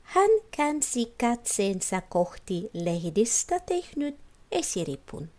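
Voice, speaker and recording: A woman reading a children's fairy tale as an expressive storyteller. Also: a little high. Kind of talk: expressive scripted storybook narration.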